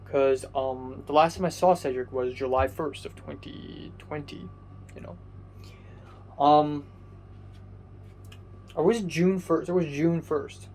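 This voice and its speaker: A man's voice: on the high side, steady tone, takes it slowly, long pauses, darkish tone, a little hiss or hum. Young man rambling through a story. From Hong Kong. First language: Cantonese. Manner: rambling, frequent fillers and restarts; swears casually. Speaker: vlogger. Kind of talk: casual storytelling monologue.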